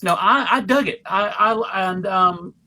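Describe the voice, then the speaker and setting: A panelist with a middling pitch talks casually about films. The delivery is halting and exploratory, as if working out each thought aloud. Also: bright, forceful tone.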